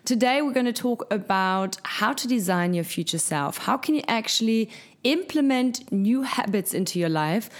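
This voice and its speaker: A woman hosting a casual solo podcast, her voice husky from lack of sleep, talking in an upbeat, encouraging, motivational way. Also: on the high side, expressive, moderate pace. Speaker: podcast host. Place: hot top-floor apartment.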